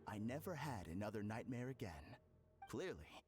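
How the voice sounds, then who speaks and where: A man's voice: fairly low pitch, sing-song, moderate pace, darkish tone, faint music behind. A man playing a smug, self-deluded, condescending character in a video game, speaking theatrically and formally. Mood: smug, amused. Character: smug, theatrical man deluded about his own perfection.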